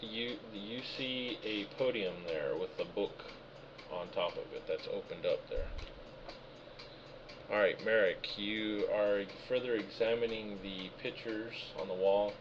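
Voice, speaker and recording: A man's voice: on the low side, measured pace. A man running a tabletop game, reading out room descriptions and answering players patiently. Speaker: game master. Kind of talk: narrating a tabletop game session. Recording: muffled voice call with background noise.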